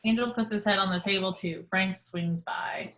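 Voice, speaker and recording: Brief: female stage-direction reader, even, matter-of-fact, home video-call mic. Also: medium pitch, moderate pace. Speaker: reader of the action description. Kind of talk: reading stage directions aloud. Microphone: video call microphone at home.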